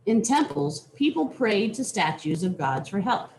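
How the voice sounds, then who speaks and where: A woman reading a children's nonfiction book aloud with deliberate, teacherly phrasing. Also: medium pitch, sing-song, a little mellow, a little hiss or hum, low-quality recording. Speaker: reader.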